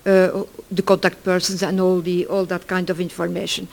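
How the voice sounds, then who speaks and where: A middle-aged or older speaker from Belgium, giving an informal conference presentation in halting, self-correcting English. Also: mid-range voice, flat delivery, vocal fry, a little mellow. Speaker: project work package leader, conference presenter.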